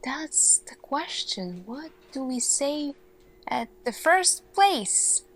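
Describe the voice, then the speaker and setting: An earnest, thoughtful lead scientist , acted out by a woman, addresses her team in a soft-spoken ASMR roleplay. Her female voice sits slightly high. She speaks extremely slowly and deliberately, leaving long pauses as she goes. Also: very animated, exaggerated voice. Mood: pensive, grave.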